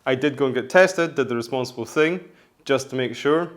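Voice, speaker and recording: Hoarse man chatting in a vlog. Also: medium pitch, fairly quick. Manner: rambling, chatty, frequent restarts. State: hoarse from flu. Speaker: menswear vlogger. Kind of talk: casual vlog talk.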